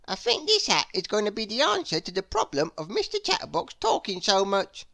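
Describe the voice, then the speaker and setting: A woman's voice: mid-range voice, huge pitch swings, a little fast, creaky, a bit bright, voice pushed up, exaggerated voice. A woman voicing a long-suffering male hat shop owner in a children's storybook, emphatic and exasperated. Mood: relieved, weary.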